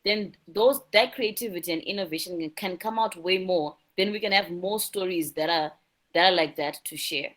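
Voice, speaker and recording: A woman's voice: on the low side, moderate pace, fairly bright tone. A woman with a Zimbabwean accent, a guest speaking earnestly in an interview and often repeating words and asking "right?". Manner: earnest, repeats words when searching, frequent tag "right?". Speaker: guest, youth non-profit founder. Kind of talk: conversational interview.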